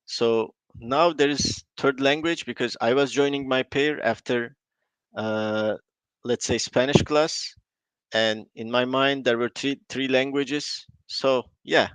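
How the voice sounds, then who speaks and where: A man who is not a native English speaker, explaining points in short, halting phrases during a conversational conference talk. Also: medium pitch, fairly bright tone, clean tone, dry recording, rough recording.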